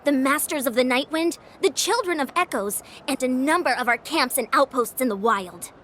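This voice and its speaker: A woman's voice: sits high, expressive, moderate pace, a bit bright, slight background noise. A woman playing a direct young warrior in a game, giving a report. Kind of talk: game dialogue.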